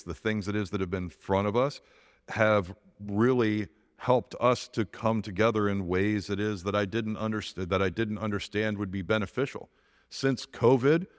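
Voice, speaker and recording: A middle-aged man with a big, lowish voice gives a heartfelt personal testimony to his congregation. He speaks earnestly, restarting phrases as he goes, and the sound carries a long reverberant tail from the church hall. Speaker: church elder giving a testimony.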